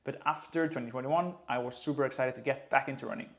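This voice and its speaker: A man in his late twenties from Iceland, a running coach speaking English with an Icelandic accent in a relaxed, conversational vlog. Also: medium pitch, quick pace. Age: late 20s. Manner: relaxed, conversational, light laughs. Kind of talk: casual vlog talk to camera.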